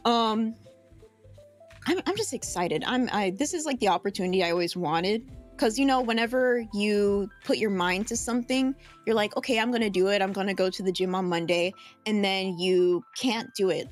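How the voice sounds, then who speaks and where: A woman's voice: medium pitch, normal speed, soft background music. A young adult woman co-hosting a podcast, chatting casually with lots of filler words. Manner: chatty, lots of ums and likes. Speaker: podcast co-host. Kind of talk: casual chat.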